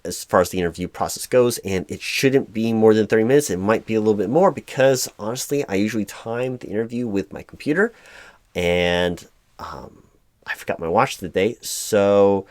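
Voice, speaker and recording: A man with a low voice plays a mildly frustrated hiring manager in a one-sided interview roleplay. He speaks in a halting, flat, office-formal way, apologetic and a bit flustered. The sound is close and dry. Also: no echo. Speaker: roleplay performer as recruiting manager.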